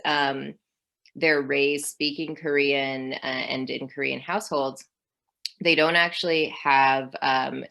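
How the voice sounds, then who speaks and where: A woman historian in her thirties speaks as an interview guest in a low voice. She thinks aloud as she goes, with many ums and small restarts. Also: vocal fry, pushed and bright. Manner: thoughtful, with many fillers. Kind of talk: academic interview.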